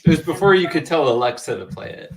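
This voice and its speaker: A man with a fairly high, animated voice joins a panel conversation. His delivery is casual and joking, with lively swings in pitch. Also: fast talker, a crowd in the background.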